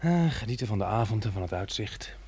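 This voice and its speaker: An actor playing a modest, thoughtful biologist turned farmer in a radio drama, hesitant and reflective, with a husky voice. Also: male voice, on the low side, lively intonation, brisk, darkish tone. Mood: resigned, reflective. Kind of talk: radio play acting.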